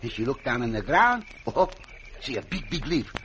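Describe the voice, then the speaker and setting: An actor playing a kindly, simple Mexican cowboy sidekick in an old radio western, in accented broken English with stammered repeats. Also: male voice, medium pitch, big pitch swings, normal speed, a bit bright. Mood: soothing, tender. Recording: muffled, noisy old radio recording.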